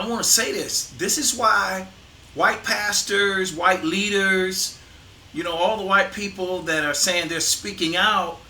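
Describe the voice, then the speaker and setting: A man's voice: high-pitched, sharp tone. A middle-aged man hosting a casual conversation, firm and emphatic, pushing his voice up to stress points. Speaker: host, father interviewing his son. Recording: echoey room with faint noise.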